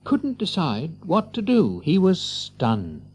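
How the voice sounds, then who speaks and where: A man narrating a children's story with an expressive, theatrical storyteller's delivery. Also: on the high side, takes it slowly, husky.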